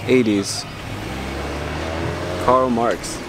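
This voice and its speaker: A man with an ordinary mid-range voice talks through his vintage clothing finds with relaxed enthusiasm. He's outside on a street beside parked cars, and clear traffic noise sits under his voice. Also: medium pitch, extremely slow. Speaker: vintage clothing buyer showing his haul. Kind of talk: casual haul walkthrough.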